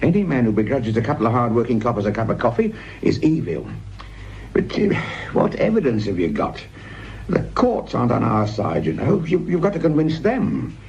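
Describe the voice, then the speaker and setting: An actor playing a cynical, world-weary older British police sergeant near retirement, in a naturalistic radio drama. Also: male voice, mid-range voice, very animated, moderate pace, darkish tone. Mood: grudging, probing. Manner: sardonic, world-weary, paternal to his junior. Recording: muffled radio recording with noise.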